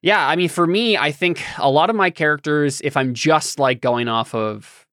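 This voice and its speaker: A man hosting a casual podcast chat, speaking in a hesitant, halting way as he thinks aloud. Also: a little high, a bit bright, dry recording, clean studio sound. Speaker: podcast host and game master.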